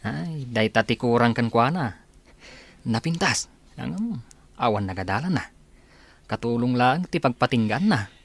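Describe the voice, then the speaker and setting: A gossiping male servant, played by a man in a radio drama. His husky voice bounces up and down in pitch as he trades rumors. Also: expressive, a little slow, long pauses. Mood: gossipy. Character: gossiping male household worker.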